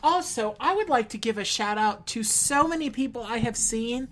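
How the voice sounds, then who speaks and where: A woman's voice: on the high side, animated, moderate pace. A woman co-hosting a podcast, chatting casually with wry, sarcastic quips. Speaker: podcast co-host. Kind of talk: casual chat.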